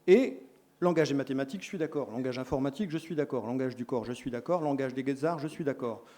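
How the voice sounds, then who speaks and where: A middle-aged man lectures a room of teacher tutors. His voice is warm and mellow, sitting at a middle pitch, and he leans hard on key words before dropping into quick corrections and asides. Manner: explanatory, lively emphasis, self-correcting asides. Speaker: lecturer in education sciences.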